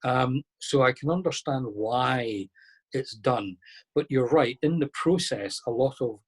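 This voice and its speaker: A man answering questions in an online lecture, relaxed and conversational, thinking aloud as he explains. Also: medium pitch, unhurried, slightly rough. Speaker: lecturer on masonic history. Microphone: video call.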